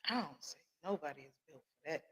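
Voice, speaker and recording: A man's voice: fairly high pitch, animated, a little fast, breathy edge. Man hosting, reacting with short affirmations. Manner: responsive, lots of short affirmations. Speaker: podcast host. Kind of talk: interview, reactive listening.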